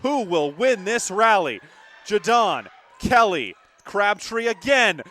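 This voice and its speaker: High-pitched young male volleyball play-by-play announcer. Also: sing-song, slow pace, bright, forceful tone. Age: young adult. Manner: emphatic, dramatic calls. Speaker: play-by-play sportscaster. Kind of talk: live volleyball commentary. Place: courtside in a gymnasium.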